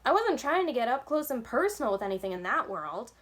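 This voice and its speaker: A woman's voice: on the high side, big pitch swings, quick pace, a bit bright, pitched above the performer's own voice. An earnest young boy, read aloud by a woman. He speaks plainly and a little wearily, as the hero of a children's storybook.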